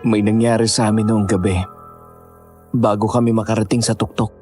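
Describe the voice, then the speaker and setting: A man's voice: on the low side, little pitch movement, moderate pace, darkish tone, soft background music, lightly acted. A man playing a polite, grateful young survivor in a horror audio drama. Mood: earnest.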